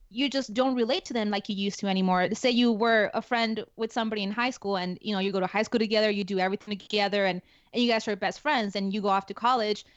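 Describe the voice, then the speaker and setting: Spanish-accented woman, halting and thoughtful. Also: medium pitch, dry recording. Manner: hesitant, many fillers and restarts. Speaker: guest, security forces NCO. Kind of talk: casual interview talk. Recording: dull lo-fi dry sound.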